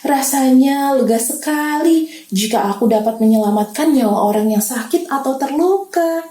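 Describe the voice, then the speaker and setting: A brave young ambulance girl from a storybook, her voice pitched high and eager, as a woman reading aloud puts her on. She speaks with urgent care for the hurt, always in a hurry to help. The sound bounces in a roomy space. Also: expressive, moderate pace. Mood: relieved. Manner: urgent, bright and caring. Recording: roomy echo.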